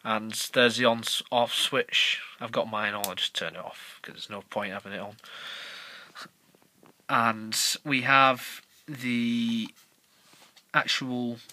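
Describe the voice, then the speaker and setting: A young man around nineteen giving a casual, unscripted review as a video creator, halting and pausing mid-phrase. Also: medium pitch, fairly even intonation, pushed and bright, low-quality recording. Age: young adult, about 19. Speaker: YouTube toy reviewer. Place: small bedroom, indoors. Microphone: handheld camera's built-in mic.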